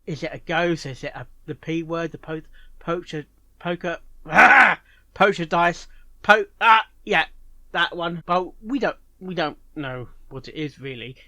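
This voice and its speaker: A man's voice: fairly high pitch, animated, measured pace, pushed and bright, no room sound. A man hosting a reaction video, musing and speculating aloud in unscripted commentary.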